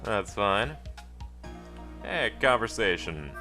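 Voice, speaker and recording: A man's voice: lowish voice, big pitch swings, slow pace, sharp tone, faint music behind. A man giving casual video game commentary as a let's play host.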